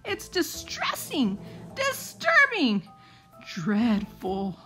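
A woman's voice: sits high, huge pitch swings, very slow, pushed and bright, husky, faint music behind, heavily acted. A woman voicing frightened, melodramatic crayon townspeople in a storybook, exclaiming in fretful horror. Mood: horrified.

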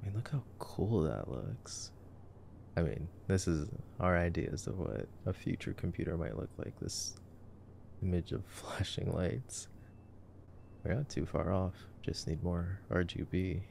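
A man playing a gentle, nerdy boyfriend in an ASMR sleep-aid roleplay, chatting softly and playfully about a film. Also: low voice, expressive, measured pace, creaky, a little hiss or hum, exaggerated voice. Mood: playful, amused.

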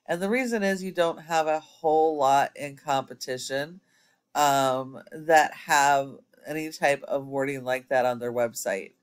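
A man's voice: mid-range voice, measured pace, clean tone. A man giving a screen-recorded website audit as an SEO consultant, explaining step by step with frequent ums.

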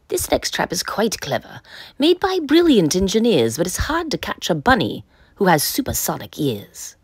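Female storyteller for kids' picture book: lively melodic range, slightly breathy texture, dry close sound, sing-song rhyme delivery. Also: mid-range voice, normal speed, dry recording. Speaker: storyteller reading a children's picture book aloud. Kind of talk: expressive read-aloud narration.

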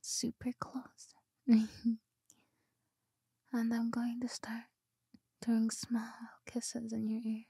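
A woman's voice: on the high side, completely flat delivery, very slow, creaky, long pauses, dark tone, breathy edge, dry recording. A young woman whispering close to the mic in an ASMR roleplay, giggly and affectionate. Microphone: close binaural mic.

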